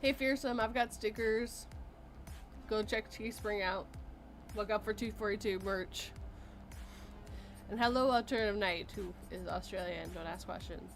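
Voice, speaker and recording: A woman's voice: medium pitch, unhurried, pauses often, faint music behind. A woman guesting on a casual podcast chat, laughing often as she talks. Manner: laughs often while talking.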